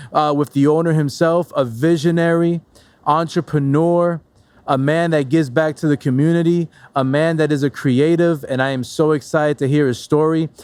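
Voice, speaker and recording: A man's voice: a little high, darkish tone, smooth. Upbeat man hosting an interview. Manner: upbeat, encouraging, echoes guest's answers. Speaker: podcast host. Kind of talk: casual interview.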